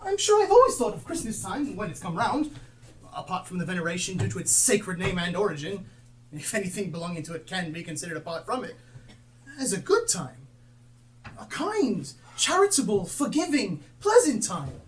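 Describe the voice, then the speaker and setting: A woman's voice: mid-range voice, very animated, normal speed, natural voice. A woman playing a cheerful, good-hearted young nephew on stage, earnest and exclamatory. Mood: earnest, heartfelt. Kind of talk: theatrical stage acting. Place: theatre stage, live performance. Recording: distant live stage recording with noise.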